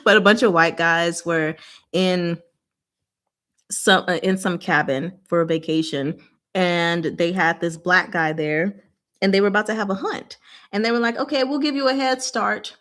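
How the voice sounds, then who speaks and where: A woman's voice: medium pitch, a bit bright. A woman hosting a casual book discussion, explaining things informally with playful, rambling asides.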